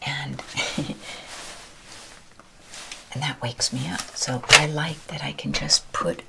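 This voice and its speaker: A woman whispering a rambling ASMR chat while ironing, her voice with a deeper timbre. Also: steady tone, takes it slowly, vocal fry, very noisy. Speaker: ASMR creator. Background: ironing noises.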